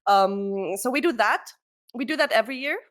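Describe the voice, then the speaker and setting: A woman's voice: on the high side, lively intonation, normal speed. Rambling woman podcast guest host. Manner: rambling with many ums and restarts. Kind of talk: casual podcast chat.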